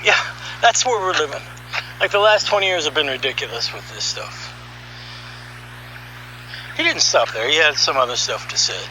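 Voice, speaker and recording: A man giving an opinionated, conversational monologue as a podcast host, sardonic and animated, throwing out rhetorical questions. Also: on the high side, moderate pace, pauses often, a little hiss or hum, rough recording. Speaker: podcast host and audio artist. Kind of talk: opinionated monologue, reading article excerpts aloud.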